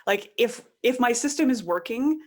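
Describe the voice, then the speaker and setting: A woman chatting casually as an expert podcast guest, animated and given to voicing imagined quotes. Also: fairly high pitch, normal speed. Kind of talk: casual interview chat.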